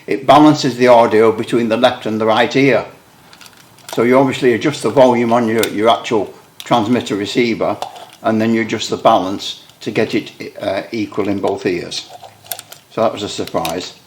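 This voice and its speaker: A man's voice: fairly low pitch, fairly even intonation, normal speed, a little hiss or hum. A British man, a radio hobbyist, explaining his equipment in a casual, rambling show-and-tell with wry, self-deprecating asides. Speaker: radio hobbyist video maker.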